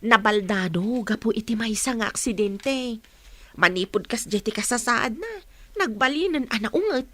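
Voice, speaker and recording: An actress playing a chatty, respectful household helper in an audio drama, friendly and deferential in manner. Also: female voice, on the high side, animated, normal speed, no echo.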